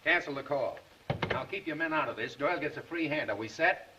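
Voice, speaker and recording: An actor playing a brisk, hard-bargaining man in a 1930s crime film, recorded distant and muffled with noise. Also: a little high, animated, natural voice. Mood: insistent.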